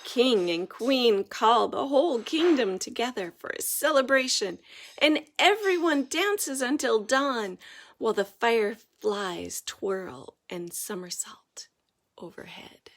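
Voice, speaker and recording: High, animated woman reading a storybook. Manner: dramatic, deliberate. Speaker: storybook reader. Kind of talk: expressive children's story narration.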